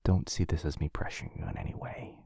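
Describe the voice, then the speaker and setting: A man playing a tender, devoted vampire lover in an intimate romantic ASMR roleplay, speaking softly and haltingly with a breathy, often whispered voice. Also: dry recording, studio quality, natural voice. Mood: tender confession. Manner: soft, halting, earnest delivery.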